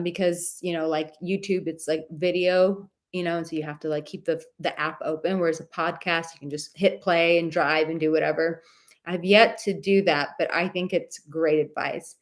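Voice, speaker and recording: A woman hosting a live dating advice stream, reading viewer comments in a warm, affectionate and chatty way, with a soothing voice and many filler words. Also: on the low side, normal speed. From Czech heritage.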